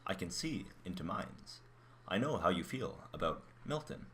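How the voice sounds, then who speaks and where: A man acting as a mind-reading robot in a radio play, calm and blunt, with a measured, formal delivery. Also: sits low, a little hiss or hum. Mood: calm, matter-of-fact. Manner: measured, matter-of-fact, formal. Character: mind-reading male robot, calm, blunt, curious about human emotions.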